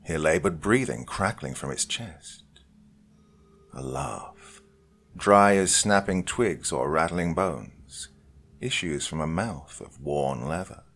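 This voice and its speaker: A man with a deep, gravelly voice reads a dark tale with theatrical swings in volume. He drops to hushed whispers and then rises again. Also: expressive, slow. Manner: dramatic, swinging between loud and whispered. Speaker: story reader. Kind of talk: dramatic horror story reading.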